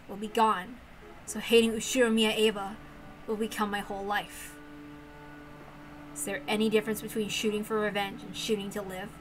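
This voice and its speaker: A woman's voice: mid-range voice, natural voice, poor audio. A woman reading the brooding, intense monologue of a bitter, weary young woman obsessed with revenge in a visual novel.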